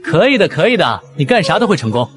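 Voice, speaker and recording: A young man playing a sarcastic, argumentative commoner who lectures his betters in an animated web drama. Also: fairly high pitch, fast talker. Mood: casual, encouraging.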